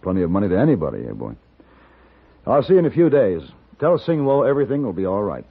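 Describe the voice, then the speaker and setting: An actor playing a cultured, wry, self-assured American gunfighter who narrates and acts in a radio western. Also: male voice, fairly low pitch, huge pitch swings, creaky, phone-quality sound, noisy background, full character voice. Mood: concerned, steady. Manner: urbane, measured, wry. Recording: thin 1950s radio recording, music behind.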